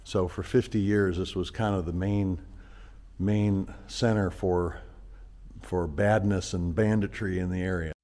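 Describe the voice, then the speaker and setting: A man's voice: deep voice, fairly even intonation, measured pace. A man co-hosting a motorcycle travel vlog, narrating outdoors in a casual, rambling way into a camera mic. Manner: rambling, loose storytelling. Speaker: vlog co-host.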